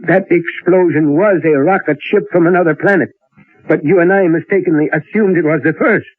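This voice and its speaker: A man acting as an earnest, learned professor in an old-time radio drama, with formal, deliberate, precise speech. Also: fairly high pitch, no echo, lightly acted. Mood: grave. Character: earnest, learned male professor, brilliant scientist. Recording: tinny, band-limited old radio recording.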